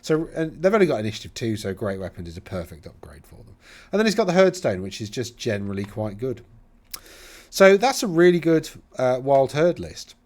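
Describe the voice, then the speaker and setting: A man's voice: medium pitch, very animated, a little fast, a little mellow. A man from England with a Middle England accent, casually talking through tournament army lists, rambling and often correcting himself. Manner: rambling, self-correcting, chatty asides. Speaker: host reviewing tournament lists. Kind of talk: casual solo commentary.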